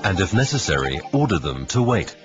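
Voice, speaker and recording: A man reading scripted instructional narration in a measured way. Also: deep voice, sing-song, dull audio. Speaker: narrator of a corporate training film. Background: background music.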